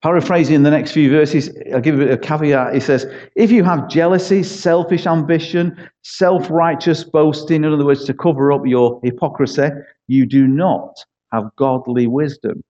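A middle-aged man with a Manchester accent preaches a sermon, chatty and self-deprecating, tossing in jokes and rhetorical questions. His voice is dark and mellow. The recording is dull and lo-fi. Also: on the high side, steady tone, normal speed, hardly any pauses. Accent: Mancunian. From East Manchester, England. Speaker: church leader preaching as a guest.

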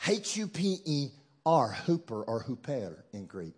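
A man's voice: medium pitch, very animated, unhurried, darkish tone, slightly rough. A man with a Texan accent preaching a sermon as guest speaker, emphatic and joking, calling out to his listeners. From Texas. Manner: emphatic, joking, call-and-response with the crowd.

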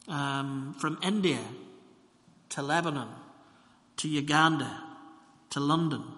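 A man with a Northern Irish Ballymena accent, a minister preaching a sermon, telling stories and slowing down to repeat phrases for emphasis. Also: on the high side, pushed and bright. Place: church. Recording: long church reverb.

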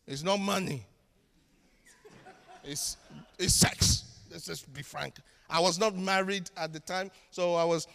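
High-voiced Ghanaian preacher in a hall. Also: male voice, gravelly. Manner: storytelling preacher, swings from shouts to hushed asides, self-mocking humor. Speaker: pastor. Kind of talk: sermon. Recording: long hall reverb.

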